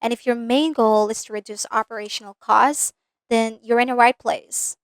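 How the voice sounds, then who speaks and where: A woman's voice: on the high side, fairly even intonation, moderate pace, no room sound. A woman from the Philippines with a Filipino accent, giving a scripted business lesson in a direct way and stopping to ask the viewer checking questions. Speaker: business coach. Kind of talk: scripted instructional video.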